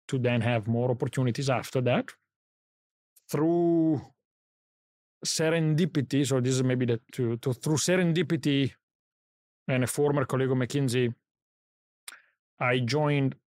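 A man's voice: medium pitch, dry recording. A middle-aged man from Italy with an Italian accent, a podcast guest in a studio recounting his career in a reflective, think-aloud way. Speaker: guest, company founder. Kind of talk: podcast interview.

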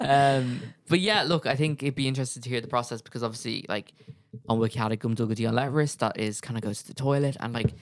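A man's voice: medium pitch, quick pace, dry recording, studio quality. An Irish man co-hosting a podcast, chatting casually with many fillers and frequent laughs. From Ireland. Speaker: co-host.

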